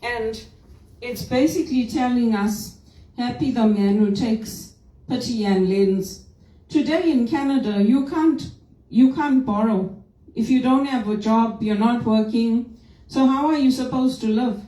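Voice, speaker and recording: Echoey, higher-voiced woman from Zimbabwe. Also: unhurried, warm tone. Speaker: vlogger giving a personal reflection. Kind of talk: informal spoken reflection, part religious, part personal grievance. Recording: roomy echo, distant from microphone.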